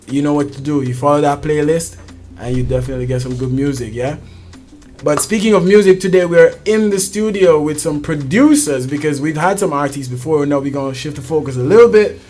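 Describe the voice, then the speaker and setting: Casual higher-voiced man hosting, music behind. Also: brisk, a little mellow. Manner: chatty, informal, jokey. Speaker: show host. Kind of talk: casual studio interview. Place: music studio.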